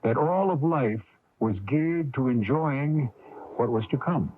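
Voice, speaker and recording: A man's voice: mid-range voice, slow, a little hiss or hum. A man hosting and narrating a radio drama in a theatrical, portentous scripted style. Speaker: host and narrator. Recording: tinny radio broadcast with music.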